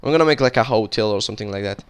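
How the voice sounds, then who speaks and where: A man's voice: fairly low pitch, very fast, smooth. Chatty Colombian-accented young man gaming. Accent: Colombian Spanish. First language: Spanish. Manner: rambling and chatty, talking while playing, frequent restarts. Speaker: gaming video creator. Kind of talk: casual gameplay commentary.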